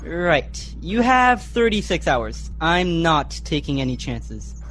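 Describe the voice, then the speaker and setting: A slow, lowish voice of a stern young bridge leader played by a woman, over faint noise. Delivery is terse and deliberate, with lively swings, in a sci-fi radio drama. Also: exaggerated voice, rough recording. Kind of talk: sci-fi radio play acting.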